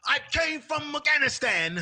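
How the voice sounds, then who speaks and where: A man's voice: very high-pitched, expressive, normal speed, soft background music, pitched above the performer's own voice, full character voice. A man putting on the voice of an indignant, self-righteous immigrant man who insists he worked hard. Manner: shouted, self-righteous protest.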